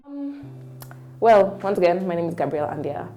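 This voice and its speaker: A woman with a Kenyan accent, telling stories in a casual, rambling way with lots of fillers, lively when she recalls memories. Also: on the low side, moderate pace, faint music behind. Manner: chatty and rambling, with frequent "like" and "um". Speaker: singer-songwriter guest. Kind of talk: casual interview storytelling.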